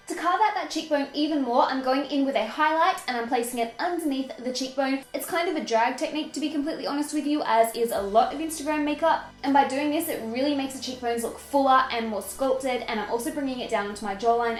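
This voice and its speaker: Fast-talking young woman w a stuffy nose, high voice, roomy sound, soft music behind. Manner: chatty, upbeat, self-mocking. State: nasal from a head cold. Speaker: beauty vlogger. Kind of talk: makeup tutorial with voice-over. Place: indoor room with fairy lights.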